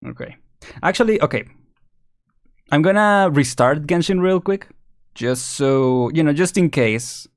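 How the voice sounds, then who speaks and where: A man chatting casually and rambling as he thinks out loud on a live game stream. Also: on the high side, lively intonation, unhurried, long pauses.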